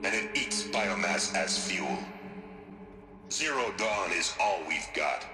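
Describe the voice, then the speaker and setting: An actor playing a stern, grave military commander in a video game, firm and authoritative as in a briefing. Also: male voice, low voice, lively intonation, slow pace. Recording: roomy echo, music underneath.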